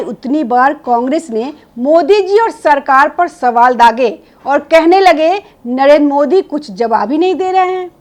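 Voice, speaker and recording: A woman news presenter reading scripted, opinionated political commentary with emphatic rhetorical phrasing. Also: very high voice.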